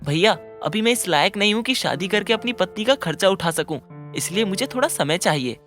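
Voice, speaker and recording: An actor voicing a protesting young unmarried brother in an animated family drama. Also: medium pitch, fairly quick, soft background music, lightly acted. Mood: pleading.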